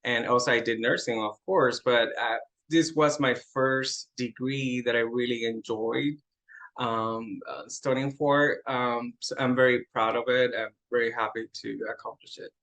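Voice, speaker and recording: A man's voice: mid-range voice, steady tone, a little slow, fairly bright tone, low-quality recording. A middle-aged man from Puerto Rico with a Puerto Rican accent, a Spanish speaker, guesting on a casual interview and laughing often in a modest way. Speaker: interview guest, medical coder.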